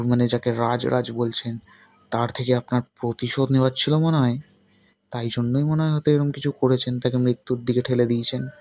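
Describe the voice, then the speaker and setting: Casting: male actor for accusatory cop in a horror audio drama, mid-pitched, flat delivery, dry sound. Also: no room sound, close to an everyday voice. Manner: interrogating, accusatory. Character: stern, suspicious policeman scolding a survivor. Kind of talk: dramatised ghost story.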